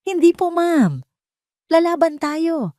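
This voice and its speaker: A woman's voice: extremely high pitch, sing-song, takes it slowly, no room sound, clean studio sound, voice pushed up, exaggerated voice. A woman voicing a loyal, defiant veteran seamstress, calling out boldly in an audio drama. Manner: bold, shouted, defiant.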